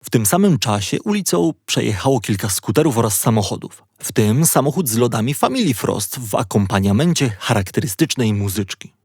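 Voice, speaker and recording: A man reading a children's audiobook as a playful, expressive storyteller. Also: medium pitch, no echo, studio quality. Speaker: audiobook narrator. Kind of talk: scripted children's story narration.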